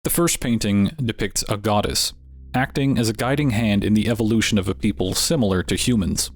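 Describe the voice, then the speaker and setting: A man narrating from a script, measured and explanatory. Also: lowish voice. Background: music.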